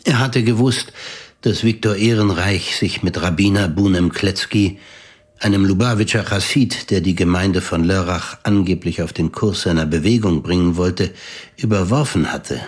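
A grave male storyteller narrating an audio drama. His voice is very low and husky, and he delivers scripted literary prose with composure. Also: moderate pace. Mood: grave, composed.

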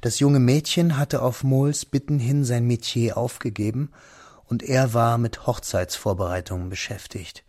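An actor playing the narrator of a literary radio drama, telling the story of a diary in a sombre, measured way. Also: male voice, mid-range voice, steady tone, a little mellow, dry recording, clean studio sound, natural voice. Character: sombre male narrator piecing together a friend's diary.

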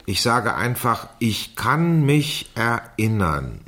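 A man's voice: medium pitch, sing-song, takes it slowly, pushed and bright, full character voice. An actor playing a man who reads a teenage girl's diary aloud in a theatrical, mocking way in a radio crime play. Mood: deliberate. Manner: theatrical, dictates punctuation marks aloud.

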